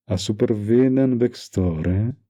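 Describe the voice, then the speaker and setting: A man playing a doting, dominant young mafia heir boyfriend in an intimate roleplay audio drama, murmuring slow, possessive sweet talk with pet names. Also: dark tone, exaggerated voice. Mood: amused, proud. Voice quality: low gravelly, breathy murmur.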